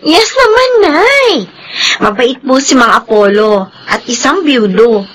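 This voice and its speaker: A woman's voice: sits high, sing-song, slow, a bit bright, full character voice. A woman playing a supportive grown daughter in a radio drama, with a Filipino accent. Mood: approving.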